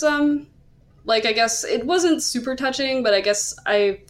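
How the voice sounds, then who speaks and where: A woman hosting a podcast, talking casually about comics in a hesitant, self-qualifying way. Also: mid-range voice. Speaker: podcast host. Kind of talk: casual discussion of manga.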